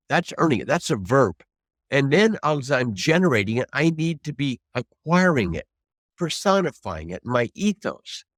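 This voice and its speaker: A man in his seventies, a trial lawyer teaching advocacy in an emphatic, deliberate, conversational way with rhetorical prompts. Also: medium pitch, fairly bright tone, no echo, clean studio sound. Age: 70s. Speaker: trial lawyer, interview guest.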